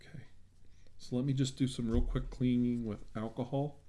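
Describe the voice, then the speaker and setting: A man doing a soft-spoken ASMR roleplay as an examiner, talking in a hushed, reassuring way. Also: mid-range voice, little pitch movement, normal speed. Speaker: ASMR roleplayer acting as examiner treating a client.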